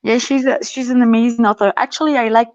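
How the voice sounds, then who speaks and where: Brief: woman moderator, fairly high voice, hesitant, dry lo-fi video call sound. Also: fairly even intonation, fast, a little mellow, dry recording. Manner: hesitant, friendly, frequent fillers. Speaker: festival Q&A moderator. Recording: dull dry lo-fi audio.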